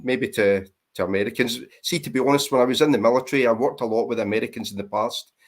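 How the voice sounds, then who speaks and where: A man's voice: medium pitch, fast. A man with a Scottish accent, chatting casually and self-deprecatingly as a guest on a livestream. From Scotland. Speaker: guest on panel. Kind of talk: casual chat.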